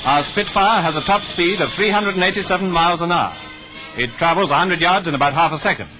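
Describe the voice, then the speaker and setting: Old 1940s newsreel guy, posh british rp, highish voice, clipped and declamatory, music going behind him. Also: a little fast, low-quality recording. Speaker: newsreel narrator. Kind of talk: 1940s wartime newsreel commentary.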